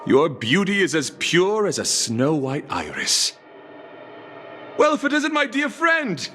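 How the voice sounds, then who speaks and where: A gallant knight in a game, bright and ringing in tone with sweeping intonation. He speaks in florid, courtly flourishes, theatrical and chivalrous. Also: high-pitched, wildly swooping pitch, measured pace, a little hiss or hum, heavily acted. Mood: gallant, effusive. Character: flowery, gallant, chivalrous male knight of beauty. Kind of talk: scripted game dialogue acting.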